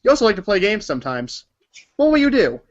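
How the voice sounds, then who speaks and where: Lively, high-pitched man narrating a story. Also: quick pace. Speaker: narrator in a read-along performance. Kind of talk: dramatic scripted narration. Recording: dull lo-fi recording.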